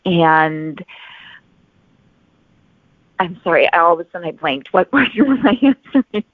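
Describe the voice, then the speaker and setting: Lowish woman on a phone line. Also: expressive, measured pace, no echo. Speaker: author guest. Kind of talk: explanatory interview answers. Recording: narrow phone-like sound, very dry.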